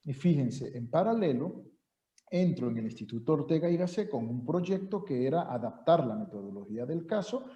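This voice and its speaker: Venezuelan man, middle-aged lecturer; very dark tone, muffled video-call sound. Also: mid-range voice, expressive. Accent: Venezuelan Spanish. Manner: measured, formal, many "eh" fillers. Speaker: university lecturer. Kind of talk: online seminar talk. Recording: dull echoey video-call audio.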